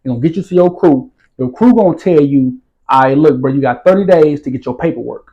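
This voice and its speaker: Interview guest: man, slightly high voice, relaxed streetwise delivery, keeps checking in with the listener. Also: quick pace, lo-fi sound. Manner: streetwise slang, repeats "you feel what I'm saying". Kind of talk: casual storytelling interview.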